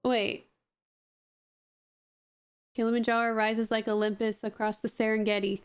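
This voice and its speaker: A woman's voice: a little high, flat delivery, a little slow, smooth, faint music behind. A woman chatting casually while playing a word game with friends, laughing often. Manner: chatty, laughs often, hums song lyrics. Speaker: game player. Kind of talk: casual gaming chat.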